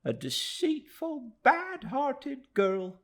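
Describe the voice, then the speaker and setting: A male reader puts on an emotional young townswoman from a classic storybook. The voice is pitched far up and swings widely. She exclaims in drawn-out phrases, indignant yet soft-hearted. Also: wildly swooping pitch, heavily acted. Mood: angry, scornful. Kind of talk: classic novel audiobook character.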